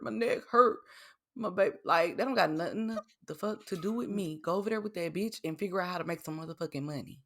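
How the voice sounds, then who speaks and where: Lower-voiced Texan woman, animated chat. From Texas. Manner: animated, laughing, slangy. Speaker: podcast co-host. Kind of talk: casual chat.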